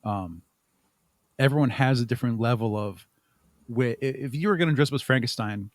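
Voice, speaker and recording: A man with a mid-pitched voice chats as an interview guest. He answers in a thoughtful, wandering way and plays himself down. The sound is dry and close, with little room in it. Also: fairly quick, dry recording. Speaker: podcast guest, audio drama creator. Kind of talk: casual interview conversation.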